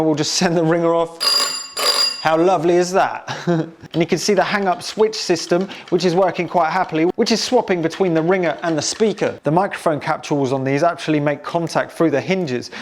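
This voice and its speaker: Higher-pitched chatty British man. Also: steady tone, fairly quick. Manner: enthusiastic, chatty. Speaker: museum owner presenting restoration project. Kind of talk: casual hands-on demonstration narration.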